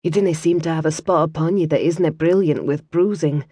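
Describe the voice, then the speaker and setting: A woman's voice: a little fast, slightly rough, no room sound. A woman voicing a sultry Scottish lass. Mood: gentle teasing. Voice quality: soft, sultry, low.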